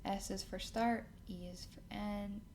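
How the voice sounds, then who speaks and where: Slow-paced woman giving a software tutorial. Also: medium pitch, steady tone. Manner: thinks aloud while working. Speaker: tutorial host. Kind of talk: casual screen-recorded software tutorial. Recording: clear background noise, keyboard and mouse clicks.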